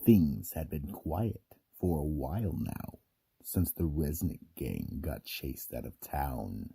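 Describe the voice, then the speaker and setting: A man narrating a scripted radio mystery drama in a theatrical, deliberate storytelling manner. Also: rumbling low, animated, gravelly, mellow, breathy edge, no room sound. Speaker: narrator and host.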